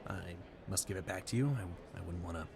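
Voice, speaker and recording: Polite, awkward gentleman ball guest for audio roleplay, played by a man; deepish creaky voice, effusive, stammering, rambling. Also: brisk, background noise. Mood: awestruck. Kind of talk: audio roleplay addressed to the listener.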